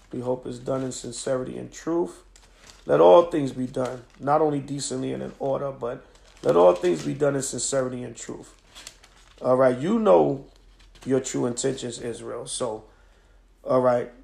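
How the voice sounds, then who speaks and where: A man with a very gravelly, hoarse voice, worn down by a cold, gives an emphatic scripture lesson. He speaks at a middle pitch into a phone propped on a stand at home, checking in with his listeners again and again. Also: steady tone, a little slow, darkish tone. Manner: emphatic, preacherly, with many "all right?" checks. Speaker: teacher of a religious group. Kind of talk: live-streamed scripture lesson. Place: home at night.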